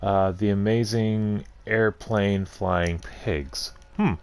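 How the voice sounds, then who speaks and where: A man's voice: on the low side, fairly even intonation, slow, gravelly, clear, resonant tone. A man hosting a gaming video, thinking aloud in casual, hesitant commentary as he plays. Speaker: gaming channel host playing a game.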